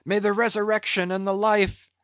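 A man's voice: high voice, little pitch movement, moderate pace, a bit bright, no room sound, lo-fi sound, voice pushed up. Man acting a grieving, bitter sea captain, raised voice. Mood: solemn. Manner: solemn, archaic, declamatory. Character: grieving, hollow-cheeked male whaling captain, bitter and solemn.

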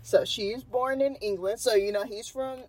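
A woman's voice: on the high side, normal speed, a little mellow, slight background noise, low-quality recording. A young woman with a Texan accent, casually telling a true crime story as a podcast co-host, laughing often. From Texas. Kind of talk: casual chat.

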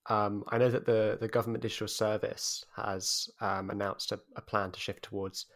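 A man interviewing a guest, asking a long, measured question. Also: lowish voice, flat delivery, a bit bright.

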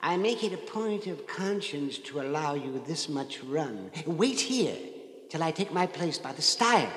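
An actor playing a sly, benign little old gentleman, polite and insinuating, in a 1950s radio drama. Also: a little high, big pitch swings, unhurried. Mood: genial, sly. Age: older. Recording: 1950s radio, echoey reverberant hall.

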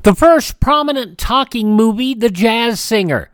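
A man's voice: very high voice, expressive, a little slow, clean tone, soft background music. A man hosting a radio show, reading scripted notes on history.

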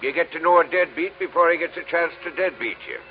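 Actor as high-voiced, cagey New cabbie. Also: male voice, fast, natural voice. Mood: knowing. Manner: folksy, knowing, ungrammatical working-class talk. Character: streetwise, cagey New York cab driver, haggling man. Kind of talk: 1950s radio drama acting. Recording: muffled old radio recording, faint hiss.

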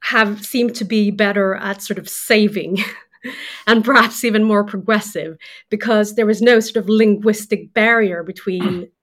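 Swedish lady on a podcast, thinks out loud, lots of ums and sort-ofs. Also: medium pitch, fairly even intonation, a little slow. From Sweden. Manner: thoughtful, explains with hesitant fillers. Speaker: guest author. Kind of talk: casual podcast conversation.

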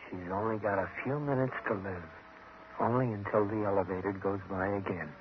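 Actor as a low-voiced, guarded tough guy, old radio. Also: steady tone, lightly acted. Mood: cold, calculating. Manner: terse, halting, evasive. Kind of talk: 1950s radio drama acting. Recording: muffled, noisy old radio recording.

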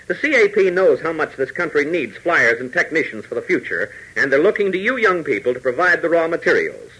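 A man's voice: a little high, big pitch swings, fairly quick, sharp tone, breathy edge, rough recording. An American man narrating a 1940s radio adventure serial in a dramatic, emphatic announcer style.

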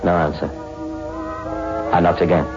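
Young London crime reporter, low voice, wry and theatrical, muffled vintage radio sound. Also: male voice, flat delivery, normal speed, loud music behind the voice. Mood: brooding, suspenseful. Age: young adult. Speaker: lead actor and narrator. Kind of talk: 1960s radio suspense acting. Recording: muffled old radio recording.

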